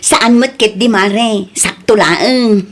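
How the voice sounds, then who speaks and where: A brash female street vendor with a fairly high voice and an Ilocano accent, played by an actress. She talks in the manner of audio drama, bold and slippery with whoever she faces. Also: very slow. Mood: cheerful, chatty.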